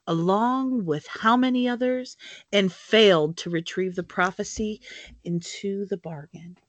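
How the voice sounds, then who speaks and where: A woman reading aloud and voicing a cold, controlled older male wizard who speaks flatly and quietly. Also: fairly low pitch, dry recording. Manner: flat, quiet, measured delivery.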